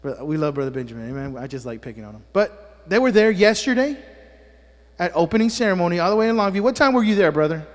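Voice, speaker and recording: A man's voice: sits high, expressive, fast talker, long reverb tail. A man preaching a sermon as pastor to his congregation, a native Spanish speaker who teases people with joking asides and keeps checking in with "amen?". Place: church sanctuary.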